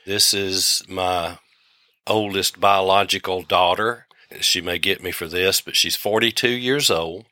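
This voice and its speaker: Older guy w/ a low crisp-edged voice, folksy podcast chat, bit of a cold, super dry recording. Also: steady tone, unhurried, pushed and bright, no room sound. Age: 60s. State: getting over a cold, voice lower than usual. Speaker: podcast co-host. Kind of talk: casual conversational podcast chat.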